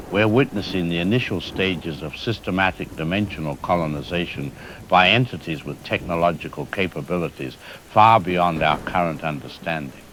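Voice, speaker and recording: An extremely low-voiced, grave scientist played by a man delivers a doomed warning in an apocalyptic audio drama. He is formal, clinical and resigned, with a light wash of rain-like noise behind him. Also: poor audio. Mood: grim, resigned. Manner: formal, measured, clinical, ominous.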